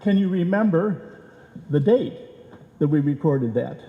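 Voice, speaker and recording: An older man presents at a live event in a slow, halting way. His voice is fairly high-pitched and mellow, ringing through a reverberant hall as he speaks informally to the audience. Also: expressive, muffled recording, a little hiss or hum. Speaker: club presenter at an award presentation. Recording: echoey hall with long reverb.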